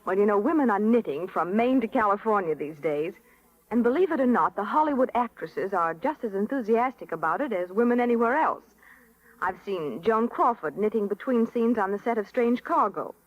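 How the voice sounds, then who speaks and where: Woman, American, mid-pitched, scripted radio reporter patter, muffled 1930s broadcast. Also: expressive, fairly quick.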